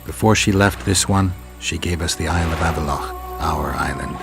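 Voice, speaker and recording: Grim monster hunter, very low voice, weary, brooding, terse game narration, loud musical score behind. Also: male voice, normal speed. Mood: somber, subdued. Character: weary, grim male monster hunter recounting his memories. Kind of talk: scripted game cutscene narration. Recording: studio voice under loud music. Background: loud dramatic score.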